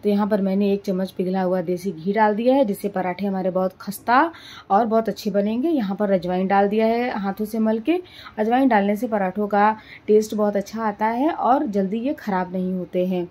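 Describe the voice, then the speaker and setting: A woman's voice: medium pitch, normal speed, mellow. Woman explaining step by step. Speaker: home cooking show host. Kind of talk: cooking tutorial narration.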